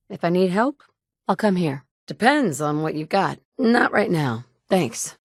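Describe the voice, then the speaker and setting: A woman's voice: sits low, a little mellow, dry recording, clean studio sound. An actress voicing a confident, wry female survivor in a video game, delivering blunt, self-assured lines. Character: confident, wry adult woman wasteland survivor. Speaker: player character in a video game.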